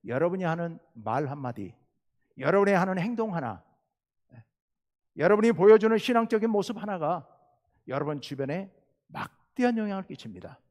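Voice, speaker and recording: A middle-aged male pastor from South Jeolla with a Jeolla Korean accent, preaching a sermon with earnest emphasis and rhetorical questions. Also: high voice. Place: church sanctuary. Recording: long church reverb.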